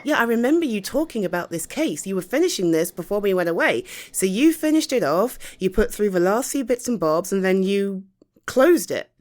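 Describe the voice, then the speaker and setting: A woman's voice: mid-range voice, expressive, a little fast, darkish tone, lightly acted. A woman with a British accent playing a caring, tired girlfriend home from the office, talking in a soothing, chatty, hesitant way in an intimate ASMR roleplay. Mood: sympathetic, supportive.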